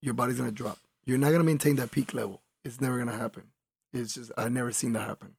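A man's voice: mid-range voice, steady tone, fast, vocal fry, breathy edge, no room sound, studio quality. A man in his thirties from Colombia, a fighter talking in a laid-back, rambling way in an interview. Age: 30s. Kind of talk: casual interview talk.

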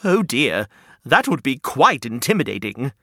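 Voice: medium pitch, sing-song, a little slow, a bit bright, dry recording, clean studio sound, voice pushed down, heavily acted. A storyteller doing an anxious little hedgehog. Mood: worried. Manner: nervous, worried and deflated. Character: anxious hedgehog who fears everything.

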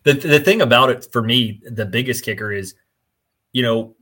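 A man's voice: medium pitch, moderate pace, a bit bright. A man who is a firefighter and instructor speaks earnestly and analytically in a casual chat.

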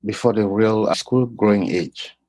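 A middle-aged man from Cameroon telling his life story in an interview, polite and unhurried. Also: lowish voice, darkish tone, no room sound. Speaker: guest, clinical lab scientist. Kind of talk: casual interview.

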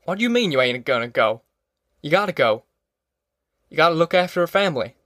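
A man's voice: fairly high pitch, lively intonation, fast talker, fairly bright tone, no echo, clean studio sound. A man voicing a bewildered, blustering middle-aged farmer father with a rural Southern American accent, in an audiobook. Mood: indignant. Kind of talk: audiobook character acting.

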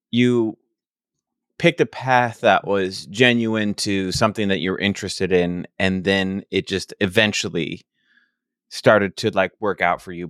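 Low-voiced, curious male interviewer. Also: fairly bright tone, dry recording, studio quality. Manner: curious, prompting questions. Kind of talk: casual interview conversation.

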